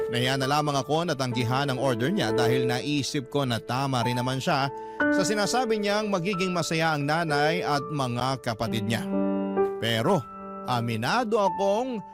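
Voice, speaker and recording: A man's voice: on the high side, close to an everyday voice. A man voicing a rueful, embarrassed letter sender, narrating a love story confessionally in a Filipino accent for radio drama. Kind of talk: scripted first-person radio drama narration. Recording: loud music bed under narration.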